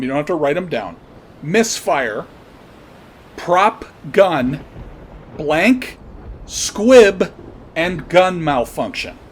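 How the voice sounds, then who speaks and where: Emphatic higher-voiced man, noisy recording. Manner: emphatic, repeats and spells out points. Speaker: podcast host commentator. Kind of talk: opinionated monologue commentary.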